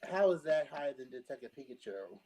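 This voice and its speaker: Man giving opinions in a halting way. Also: mid-range voice, very animated, crowd noise. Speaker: panelist. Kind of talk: casual opinionated discussion.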